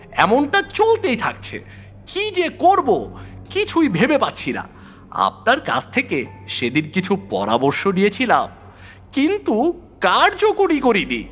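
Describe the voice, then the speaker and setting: A man voicing an excitable, exasperated friend pleading for help in an audio horror story, with an animated, complaining, colloquial manner. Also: high voice, voice pushed up, heavily acted. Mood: exasperated, urgent. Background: music.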